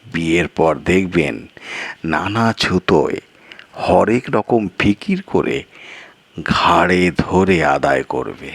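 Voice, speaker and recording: A man voicing a crafty, meddling village man in an audio story, coaxing in drawn-out phrases and rhetorical questions. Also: low voice, vocal fry, slightly rough, no room sound, exaggerated voice. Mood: insinuating. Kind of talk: Bengali audio story character dialogue.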